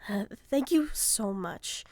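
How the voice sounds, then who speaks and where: A woman's voice: mid-range voice, animated, slow pace, a little mellow, no echo. A woman, a show's creator and voice actor, giving a casual spoken outro with thanks and credits.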